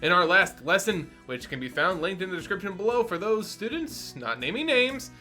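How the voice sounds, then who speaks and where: Male storyteller, bright forceful tone, theatrical scripted narration over music. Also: high voice, moderate pace. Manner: theatrical, expressive. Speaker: host and storyteller. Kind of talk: dramatic scripted narration with channel intro. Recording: music under the voice. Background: background music.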